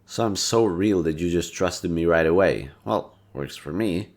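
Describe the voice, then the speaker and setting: Very deep, gravelly young man. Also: measured pace. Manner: laid-back, teasing, soft-spoken. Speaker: voice actor. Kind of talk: audio roleplay to a listener.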